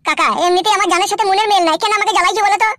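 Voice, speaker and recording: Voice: very high voice, steady tone, rapid-fire, sharp tone, slight background noise. A voice actor playing a heartbroken, irritable village man in a comedy cartoon, complaining gloomily. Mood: miserable. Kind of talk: amateur Bengali comedy cartoon dialogue.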